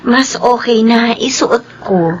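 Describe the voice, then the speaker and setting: High-pitched, gentle Filipino daughter. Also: female voice, slow, slightly rough, muffled recording. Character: gentle, courteous young daughter of wealthy household. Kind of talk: Filipino radio drama acting.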